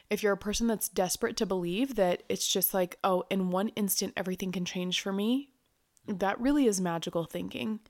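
A woman with a mid-pitched voice co-hosts a podcast, sounding nasal and stuffy from a cold. She explains her points in a steady, reflective way. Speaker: podcast co-host. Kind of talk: casual chat.

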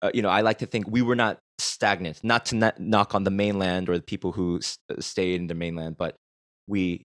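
A man's voice: sits low, fairly even intonation, a little fast, no room sound, clean studio sound. An American man hosting a podcast, talking reflectively and rambling a bit with restarts. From United States. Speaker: podcast host. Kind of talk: conversational podcast interview.

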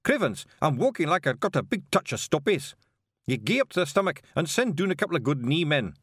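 A man's voice: fairly high pitch, sing-song, a little fast, a bit bright, dry recording, clean studio sound, pitched above the performer's own voice, heavily acted. A man doing a blustering Scottish bearded stranger. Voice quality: gruff, blustering. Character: bossy bearded stranger, several little men.

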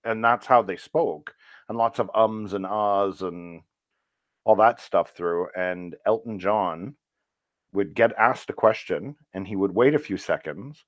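A man who works as a voice actor, talking casually in an interview and telling stories in a relaxed, rambling way with fillers. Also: fairly low pitch, unhurried, dry recording. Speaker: voice actor guest.

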